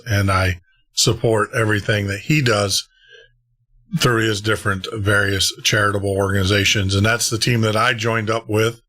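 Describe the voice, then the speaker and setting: A man's voice: lowish voice, a bit bright. A man hosting a late-night radio show and podcast, chatting casually, rambling and laughing at himself. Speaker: host.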